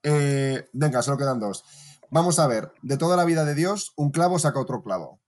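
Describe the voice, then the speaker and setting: A man's voice: medium pitch, moderate pace. Casual man hosting. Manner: relaxed, swearing, laughing often. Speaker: podcast host. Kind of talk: casual chat, running a quiz.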